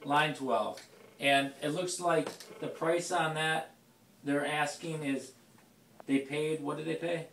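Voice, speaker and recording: A man with a very creaky, slightly high voice puts blunt questions as an investor on a casual business call. The room is echoey and he sits away from the mic. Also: fairly even intonation, slow pace, a bit bright, far from the mic, a little hiss or hum. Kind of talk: casual business phone conversation.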